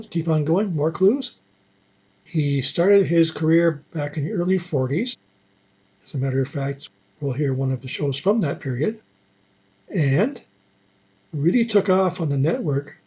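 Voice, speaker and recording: A man's voice: on the high side, a little slow, mellow, slightly rough. A man presenting an old-time radio show in a chatty, conversational way, teasing listeners with clues. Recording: slightly dull lo-fi audio.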